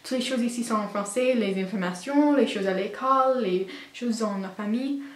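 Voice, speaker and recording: A woman's voice: on the high side, moderate pace, reverberant. A teenage girl from Canada with an English Canadian accent, a native English speaker vlogging casually in a learned language, hesitant and searching for words. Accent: English Canadian learner of French. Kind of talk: casual vlog, speaking unscripted.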